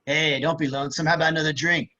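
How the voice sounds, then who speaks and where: A man's voice: on the high side, fairly even intonation, racing, pushed and bright. A man voicing a sleazy, coaxing barfly in an amateur table read over a video call. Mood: smooth-talking, predatory. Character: sleazy, coaxing male creeper at a bar. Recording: slightly dull video-call audio.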